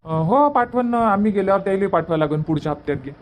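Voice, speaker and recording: Visiting cartoon son-in-law played by a woman: medium-pitched, agreeable, plain-spoken village man with a Varhadi Marathi accent. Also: expressive, quick pace, slight background noise, natural voice. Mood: agreeable, chatty. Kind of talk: cartoon comedy dubbing.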